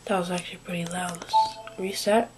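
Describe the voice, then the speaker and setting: A young woman giving casual, rambling commentary while playing a game at home, sniffly from allergies, with lots of fillers and quiet asides. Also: fairly low pitch, fairly even intonation, normal speed, very noisy. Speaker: gameplay commentator. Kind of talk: casual let's-play commentary. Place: home room at a desk.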